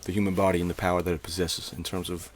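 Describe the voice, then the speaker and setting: A man's voice: low voice, little pitch movement, very fast, slight background noise. A man in his twenties from Maine, hosting a podcast in loose, slangy casual chat. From Maine, USA. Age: 20s. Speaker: podcast host.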